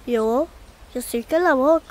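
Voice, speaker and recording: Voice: high-pitched, takes it slowly. An actor voicing a small, timid, childlike bread bun in a children's cartoon dub, hesitant and pleading. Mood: shy.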